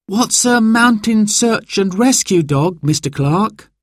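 Man putting on an eager, high-pitched young child. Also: lively intonation, slow pace, dry recording, studio quality, exaggerated voice. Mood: curious. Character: excited, curious young child on a family holiday.

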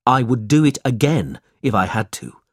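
A man's voice: mid-range voice, very animated, normal speed, no echo, clean studio sound. A man voicing a brave, protective young man in a storybook, speaking earnestly and with defiance. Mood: indignant.

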